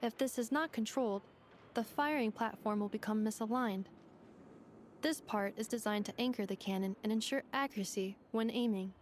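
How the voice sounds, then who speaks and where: A woman as a polite, high-voiced robot girl. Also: slight background noise, natural voice. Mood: formal, composed. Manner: measured, formal, clinical. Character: polite, precise young female robot assistant. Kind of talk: video game voice acting.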